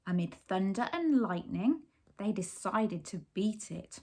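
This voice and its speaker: A woman's voice: mid-range voice, lively intonation, unhurried, a little mellow. A woman reading a picture book aloud expressively, putting simple questions and explanations to young children. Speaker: children's story reader.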